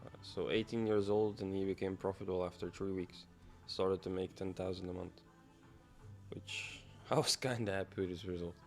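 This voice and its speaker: Laid-back young man, low voice. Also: fairly even intonation, normal speed, darkish tone, faint music behind. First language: Dutch. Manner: casual, loose, musing half to himself. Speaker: trading livestreamer. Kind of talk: live-stream commentary, thinking aloud.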